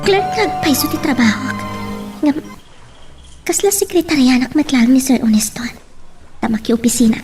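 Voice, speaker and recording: A woman acting as a young female clerk in an audio drama, very high in pitch. She is modest and polite, a little hesitant, heard at a distance with music behind her. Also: dark tone.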